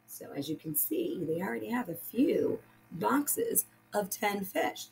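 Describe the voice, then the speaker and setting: A woman's voice: mid-range voice, very animated, a little slow, creaky, a little mellow, slight background noise, low-quality recording. A woman teaching young children, patiently explaining step by step and reading aloud like a primary school teacher. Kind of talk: children's lesson and picture book read-aloud.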